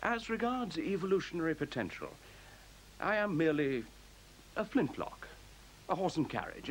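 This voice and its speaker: An actor playing a self-assured, courteous computer in a radio play, speaking in articulate, formal, precisely lecturing phrases. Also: male voice, a little high, poor audio. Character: self-assured, courteous sentient computer, male-voiced, coolly superior. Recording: faint tape hiss.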